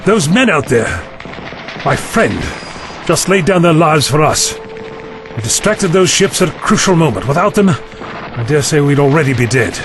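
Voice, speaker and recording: Male actor as wry, persuasive time-travelling hero, animated and slightly high-pitched, for audio drama. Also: a little slow, long pauses, music in the background. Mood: grave, persuasive.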